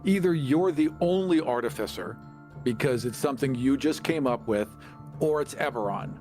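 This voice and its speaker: A man's voice: medium pitch, normal speed, a little mellow, faint music behind. A man co-hosting a podcast in a casual discussion about gaming. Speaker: podcast co-host.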